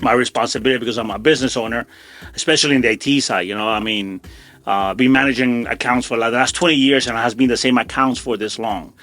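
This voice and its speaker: A middle-aged man answering as an interview guest in a casual, modest way, often halting and repeating himself. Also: medium pitch, steady tone, a bit bright, no room sound.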